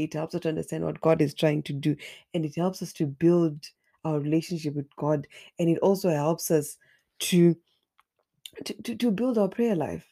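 A woman with a hoarse, raspy voice from a flu, earnestly leading an online Bible study and sometimes repeating words as she searches for the next one. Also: deep voice, mellow.

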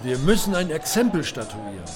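A man's voice: fairly high pitch, very animated, brisk, faint music behind, a faint crowd. A man playing a stern, resolute knightly companion with a commanding, declamatory manner in a fantasy audio drama. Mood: rallying.

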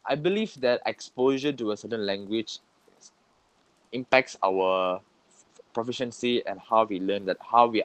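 A man's voice: lowish voice, measured pace, dry recording, rough recording. A young man with a Malaysian accent, co-hosting a casual podcast chat and dropping "lah" into his sentences. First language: Tamil. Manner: chatty, repeats words, drops in "lah". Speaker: podcast co-host.

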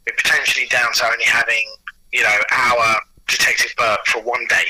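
Very bright, raspy-edged man chatting. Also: mid-range voice, flat delivery, a little fast, no echo, rough recording. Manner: enthusiastic, anecdotal. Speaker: audio producer and actor, interview guest. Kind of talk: casual interview chat.

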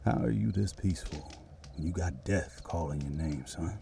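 An actor playing a weary, devout older cavalry sergeant in a western audio drama, measured and folksy. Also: male voice, sits low, vocal fry, background noise. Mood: wry, subdued. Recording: music behind the voice.

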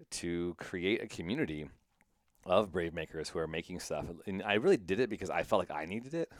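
A man with a Midwestern accent hosting a casual podcast chat, animated and excitable and quick to laugh. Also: low voice, no echo, clean studio sound. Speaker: podcast host.

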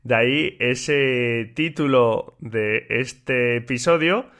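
A man hosting a solo podcast in a relaxed, chatty way with many fillers, his voice slightly stuffy and congested from a cold. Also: mid-range voice, slow. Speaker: podcast host. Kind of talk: casual solo podcast talk.

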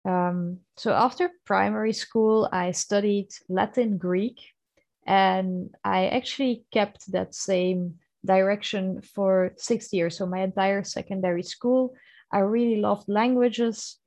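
A young woman from Belgium with a Flemish accent, Dutch being her first language, speaks to her podcast listeners. She talks in a chatty, earnest way, full of little fillers and restarts. Her voice sits at a middle pitch, and the recording is dry and close. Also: fairly even intonation, takes it slowly, darkish tone, no room sound. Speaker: podcast host. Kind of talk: casual solo podcast monologue.

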